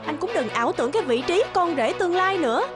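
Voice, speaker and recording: Snooty mocking office villainess, cartoon, high voice that swoops around, music behind. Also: female voice, animated, quick pace. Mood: scornful. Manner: scornful, mocking. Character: haughty, scheming young female executive, boss's daughter. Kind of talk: dubbed cartoon acting.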